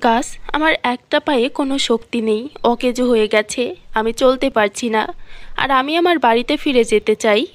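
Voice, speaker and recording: A greedy sorceress played by a woman talks in a high, dramatic voice. She is calculating and sly, in a dry-sounding cartoon fairy tale. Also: moderate pace, dry recording, lightly acted. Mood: feigned pleading. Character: scheming, greedy female sorceress feigning helplessness.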